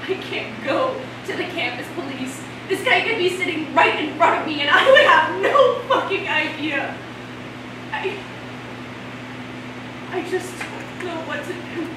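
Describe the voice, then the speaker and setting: A woman's voice: very high voice, animated, a little slow. A young woman acting a distressed college student in a theatrical stage monologue. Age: young adult. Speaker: stage actress. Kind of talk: theatrical monologue before a live audience. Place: small stage before a seated audience. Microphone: far camera. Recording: echoey noisy stage, distant camera microphone.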